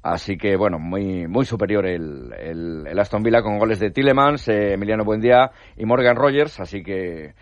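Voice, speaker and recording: A man giving the sports news on radio, measured, with frequent hesitant fillers. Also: lowish voice, lively intonation, no echo, lo-fi sound. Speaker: sports reporter. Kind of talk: radio sports report and chat.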